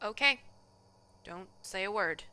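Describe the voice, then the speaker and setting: An actress playing an exasperated, guarded restaurant manager in a radio play, speaking in a curt, defensive way. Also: female voice, mid-range voice, lively intonation, slow, noisy background. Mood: curt, wary.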